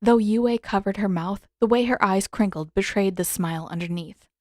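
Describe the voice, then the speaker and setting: A woman narrates a scripted fantasy story in audiobook style. Her voice has a medium pitch, and the recording is very dry, with almost no room sound. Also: normal speed, warm tone, no room sound.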